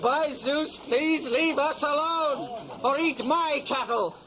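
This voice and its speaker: An actor playing a bold but troubled young prince in a 1970s radio drama. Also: male voice, very high voice, takes it slowly. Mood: pleading, aggrieved. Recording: crowd noise behind, cassette-era radio play.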